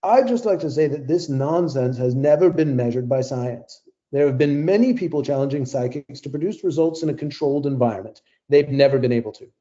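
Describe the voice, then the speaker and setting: An actor playing a smug, zealous scientist who champions AI in an audio play debate, with a lofty, dismissive manner. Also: male voice, medium pitch, a little fast, warm tone, dull audio, close to an everyday voice. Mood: scornful. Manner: lofty, dismissive, self-assured.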